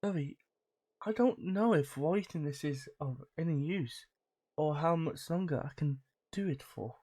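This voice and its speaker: A woman playing a weary, resigned captive voicing her diary in a video game, halting as she goes. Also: sits low, lively intonation, dark tone, husky, full character voice. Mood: despairing, resigned. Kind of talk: video game dramatic monologue.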